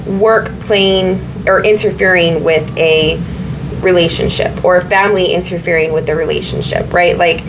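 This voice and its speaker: A slightly hoarse woman with a fairly low voice talks her viewers through a tarot reading, wandering and repeating herself as she goes. Steady background noise sits clearly under her voice. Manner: meandering, repeats herself, many fillers. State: slightly hoarse, recovering from illness. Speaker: tarot reader.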